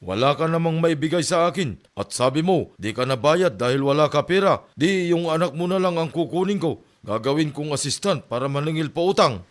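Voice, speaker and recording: Man playing comic debt collector, higher male voice, pushy, broken clipped phrasing, audio comedy. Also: expressive, a little fast, few pauses, close to an everyday voice. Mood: insistent, scolding. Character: pushy Indian moneylender man making collection rounds by motorbike.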